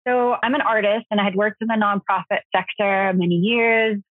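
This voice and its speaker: A woman's voice: medium pitch, fairly even intonation, moderate pace, dry recording. Syrian-born woman on a thin phone line. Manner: conversational, with fillers and self-corrections. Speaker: guest, co-founder of a soap brand. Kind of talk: casual interview answers. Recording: phone-like remote call audio.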